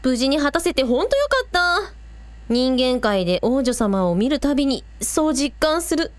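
Woman as a frank, lively big sister. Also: high voice, normal speed, no echo. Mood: sympathetic. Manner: blunt, casual speech. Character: frank, casual big-sister shrine priestess. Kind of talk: Japanese audio drama acting.

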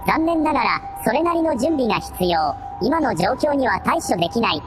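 A synthetic female voice playing a calm, matter-of-fact AI assistant in a radio drama, reporting in clipped phrases. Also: fairly high pitch, moderate pace, over music.

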